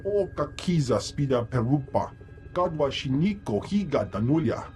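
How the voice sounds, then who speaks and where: A man voicing a gruff alien hunter companion who talks only in an alien language, in video game dialogue over faint game music. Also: medium pitch, big pitch swings, moderate pace, darkish tone, natural voice. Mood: grumbling. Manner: speaks only an alien tongue.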